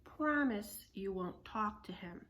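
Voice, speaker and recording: A woman voicing a cautious, bossy young boy, urgent and often hushed, in a children's storybook. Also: medium pitch, animated, slow pace. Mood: exasperated, stern. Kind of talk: children's storybook read-aloud.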